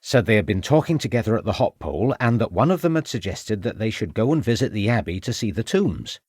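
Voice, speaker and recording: A man's voice: fairly low pitch, sing-song, quick pace, a little mellow, husky, dry recording, studio quality. A man voicing a young, red-faced village constable who reports earnestly and deferentially.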